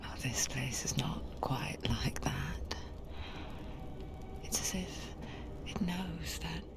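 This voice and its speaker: A woman playing an intimate, knowing narrator in a video game, speaking in a hushed, deliberate, confiding way. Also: low voice, in a whisper. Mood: eerie, confiding. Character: intimate, knowing female narrator voice inside the head. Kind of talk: whispered dramatic game narration.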